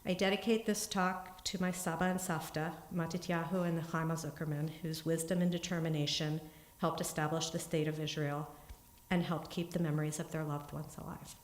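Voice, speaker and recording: A woman giving an earnest, steady talk in a synagogue, then answering questions, with small restarts. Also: sits low, brisk. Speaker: congregant giving a family history talk. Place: synagogue sanctuary. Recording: echoey room.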